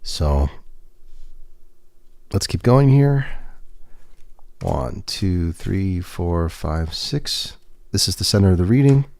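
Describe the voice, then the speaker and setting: A man livestreaming a tarot reading, talking in a gentle, reassuring way with pauses and restarts. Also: deep voice, lively intonation, vocal fry, dry recording. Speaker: tarot reader and podcast host. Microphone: webcam setup.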